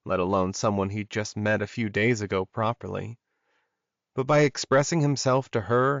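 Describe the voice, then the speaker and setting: A man's voice: mid-range voice, no room sound, muffled recording. A man reading scripted fiction as an audiobook narrator.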